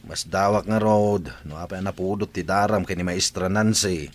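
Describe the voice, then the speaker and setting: Actor playing a low-voiced, blunt Ilocano man. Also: fairly even intonation, normal speed, close to an everyday voice. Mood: needling. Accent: Filipino, Ilocano. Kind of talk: Ilocano radio drama acting.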